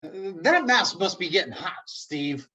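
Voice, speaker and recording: Man acting an awkward, husky-voiced hero. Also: high-pitched, very animated, unhurried, pushed and bright, lo-fi sound, full character voice. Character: awkward, earnest reluctant hero Dave Dickens. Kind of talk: comedic script table read.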